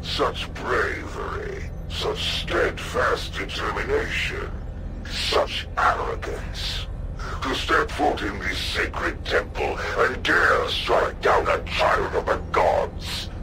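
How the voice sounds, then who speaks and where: An actor playing a menacing, scornful male alien champion in a video game, speaking in a slow, mocking, grandiose half-whisper. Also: lowish voice, lively intonation, very noisy. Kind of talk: video game cutscene acting.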